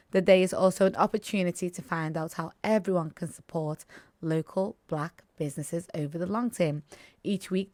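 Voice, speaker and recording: A woman's voice: lowish voice, a little mellow, dry recording. A woman radio presenter introducing a feature in a playful, conversational way. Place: radio studio.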